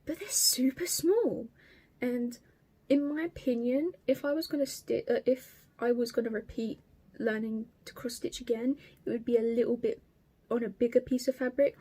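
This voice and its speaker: A woman's voice: a little high, mellow. A woman in her twenties with an Estuary English accent, from Kent, chatting to camera about her craft in a rambling, sweary way. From Kent, England. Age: 20s. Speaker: craft vlogger. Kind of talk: casual chat to camera.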